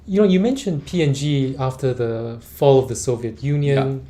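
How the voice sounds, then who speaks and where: A man's voice: mid-range voice, moderate pace, dark tone. A man from Singapore interviewing a guest, thinking aloud as he frames long questions. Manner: rambling, thinks aloud while asking.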